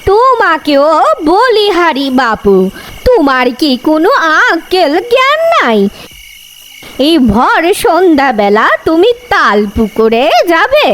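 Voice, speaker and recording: A woman voicing a gossipy, scolding woman in a cartoon, with drawn-out sing-song speech over a noisy track. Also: very high-pitched, sing-song, fairly bright tone, exaggerated voice. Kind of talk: cartoon voice acting.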